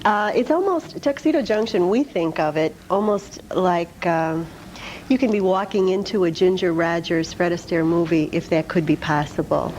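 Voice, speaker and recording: Slightly low-voiced woman, casual interview guest. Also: normal speed, a little mellow, a little hiss or hum, low-quality recording. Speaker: interview guest, supper club spokesperson.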